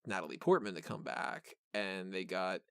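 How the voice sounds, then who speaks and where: Man, smooth clear voice, mid pitch, casual film review chat, enthusiastic, dry recording. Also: normal speed, dry recording. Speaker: podcast co-host reviewing a film.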